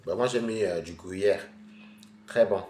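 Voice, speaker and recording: A man's voice: sits low, a little slow, mellow, reverberant, slight background noise. A man with a head cold, chatting casually with viewers on a live stream from home while he eats. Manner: chatty and rambling, addressing viewers directly.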